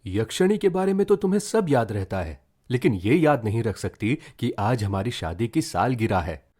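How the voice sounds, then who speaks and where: A man's voice: mid-range voice, very animated, normal speed, warm tone, slightly rough, voice pushed up. A man playing a young husband in a story, sceptical, teasing and amorous, with a dismissive way of talking.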